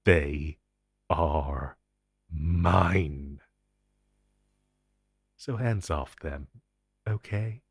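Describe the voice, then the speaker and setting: Man acting as a slow, husky, menacing ancient entity. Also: very deep voice, no echo, studio quality, full character voice. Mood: possessive threat. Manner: theatrical, possessive, condescending, deliberate. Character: ancient possessive telepathic hive-mind entity, menacing protector. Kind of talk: intense audio roleplay monologue to the listener.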